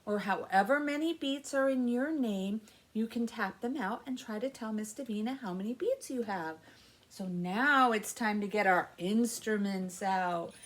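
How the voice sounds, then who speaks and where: A woman's voice: mid-range voice, a little slow. A woman in her fifties leading a baby story time, speaking and chanting in an exaggerated sing-song way. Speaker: library story time presenter. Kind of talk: children's story time with rhymes and songs. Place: small indoor room with alphabet backdrop. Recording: faint background noise.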